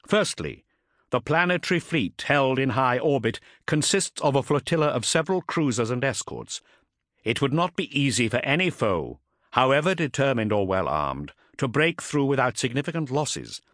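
A man voicing a stern, resolute warrior captain in an audiobook, speaking formally with measured, emphatic oratory. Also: medium pitch, big pitch swings, a bit bright, no room sound, studio quality, pitched above the performer's own voice. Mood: grave, resolute.

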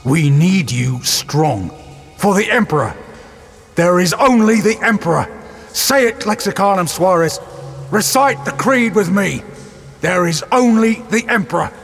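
A commanding soldier sergeant presses his orders with firm insistence, as a man acts him out. The voice rides higher and a touch louder than the reader's own. Faint music sits behind it. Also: lively intonation, a little slow, creaky, big-room sound, heavily acted. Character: stern male sergeant, commanding armored soldier.

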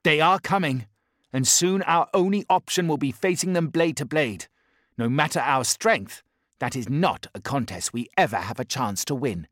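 A man's voice: mid-range voice, expressive, normal speed, fairly bright tone, no echo, clean studio sound, voice pushed up, close to an everyday voice. A man voicing an impatient, scornful male warrior in an audiobook, arguing in a raised, urgent voice. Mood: heated.

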